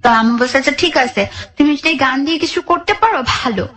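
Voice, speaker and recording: A young woman with a high voice and a Bangladeshi accent. She speaks as a guest recounting her own life in a radio interview, going through it in detail and acting out what people said to each other. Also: a little fast, fairly bright tone. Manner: narrates in detail, re-enacting conversations. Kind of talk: personal storytelling in a radio interview.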